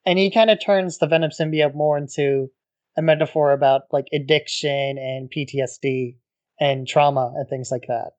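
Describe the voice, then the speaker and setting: A man co-hosting a podcast, talking in a relaxed way with wry asides. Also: on the high side, moderate pace, dull audio. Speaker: podcast co-host. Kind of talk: casual podcast discussion.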